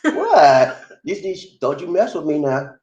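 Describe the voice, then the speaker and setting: A man's voice: a little high, huge pitch swings, a little slow, darkish tone, lo-fi sound. A man hosting a casual livestream, reading viewer comments in a playful, teasing way. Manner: Southern American vernacular, playful and teasing. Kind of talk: casual chat.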